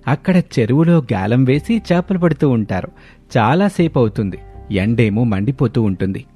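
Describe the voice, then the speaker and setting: A man's voice: mid-range voice, lively intonation, normal speed, dark tone, slightly rough, faint music behind. A man narrating a scripted moral story as its storyteller.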